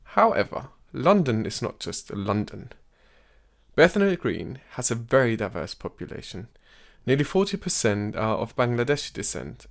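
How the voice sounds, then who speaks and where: Man narrating a podcast story. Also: mid-range voice. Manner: measured storyteller, lifts his voice for quoted speech. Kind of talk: scripted documentary narration.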